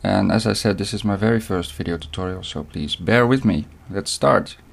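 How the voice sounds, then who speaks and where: A young man from the Netherlands with a Dutch accent, walking through a software tutorial step by step with short pauses. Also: low voice, gravelly, husky. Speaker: video editing tutor. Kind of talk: screen-recorded software tutorial.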